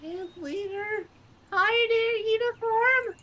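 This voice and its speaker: A man voicing a bewildered, whimsical female inner voice in a questioning falsetto, reading from a visual novel. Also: slow pace, pushed and bright, dull audio, a little hiss or hum, heavily acted. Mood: puzzled. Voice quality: high, put-on falsetto.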